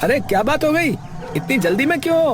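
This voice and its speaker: A man's voice: extremely high pitch, big pitch swings, brisk, noisy background. A man acting a concerned, practical best friend in a moral story, insistent, brotherly and colloquial. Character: concerned practical male best friend, urging good sense.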